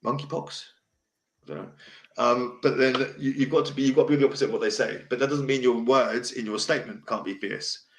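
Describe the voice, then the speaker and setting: Deep-voiced man, blunt and rambling. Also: fairly even intonation, fairly quick, fairly bright tone. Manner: rambling, blunt and emotional, restarts often. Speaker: host. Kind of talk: casual livestream talk. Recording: echoey room.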